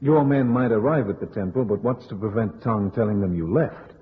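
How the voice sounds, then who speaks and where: A man's voice: on the low side, a little fast, natural voice. An actor playing a wisecracking, blunt male sleuth in an old radio detective drama, slangy, sardonic and pushy. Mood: sardonic, probing. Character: wisecracking, blunt tough-guy safecracker and sleuth. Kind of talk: golden-age radio detective acting. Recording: dull old radio recording.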